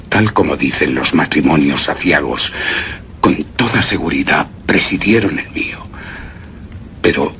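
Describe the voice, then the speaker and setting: A man playing a brooding, grief-haunted widower in a horror radio drama, speaking slowly and haltingly with breathy whispered words. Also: extremely low pitch, a bit bright, a little hiss or hum, full character voice. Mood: solemn. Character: brooding, grief-haunted widower recalling his lost beloved wife. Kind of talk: suspense and horror radio theatre acting, first-person narration.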